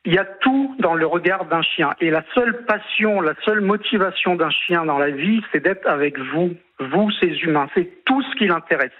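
A man's voice: sits high, animated, normal speed, few pauses. A man in his late fifties from Martinique and French Guiana, calling a live radio show by phone and telling a personal story earnestly and emphatically. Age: late 50s. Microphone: telephone call. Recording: tinny narrowband phone line.

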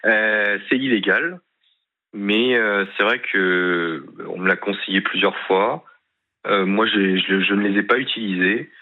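A man calling in to a radio show, speaking hesitantly and haltingly, full of fillers. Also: on the low side. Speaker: caller. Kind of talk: phone-in conversation. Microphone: telephone. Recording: tinny phone line.

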